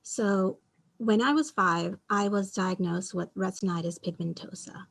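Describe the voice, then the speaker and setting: A woman's voice: mid-range voice, unhurried, vocal fry. A woman from Bangladesh, a panelist who explains her story in a matter-of-fact way. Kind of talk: online panel talk, personal story.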